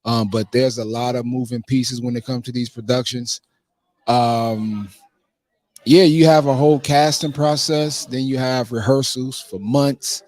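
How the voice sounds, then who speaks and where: A man's voice: medium pitch, fairly even intonation, unhurried, darkish tone. A man being interviewed casually as a playwright and producer, talking informally and punctuating his thoughts with "man" and "you know".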